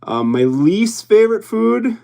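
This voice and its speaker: A man's voice: high-pitched, sing-song, a little slow, creaky, dark tone. Chatty man rambling on a livestream. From Hawaii. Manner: rambling, chatty, laughs often. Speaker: YouTube livestream host. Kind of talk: casual livestream chat with viewers.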